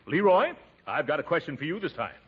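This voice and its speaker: A man playing a pompous, blustery middle-aged uncle in a 1940s radio comedy, with drawn-out, exclaiming reactions. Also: a little high, very animated. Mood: indignant. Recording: dull, hissy 1940s radio recording.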